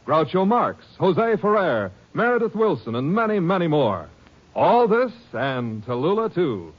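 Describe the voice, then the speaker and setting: A man reading an upbeat, salesy radio network promo. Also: fairly high pitch. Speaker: announcer. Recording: dull lo-fi 1950 radio broadcast.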